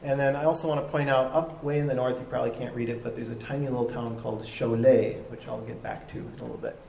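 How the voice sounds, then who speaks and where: Relaxed man with a mellow voice. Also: mid-range voice, fast talker, gravelly, slight background noise, low-quality recording. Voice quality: relaxed, hesitant with frequent ums. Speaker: travel talk presenter. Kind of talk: casual live talk to an audience. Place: live talk before a seated audience with drinks.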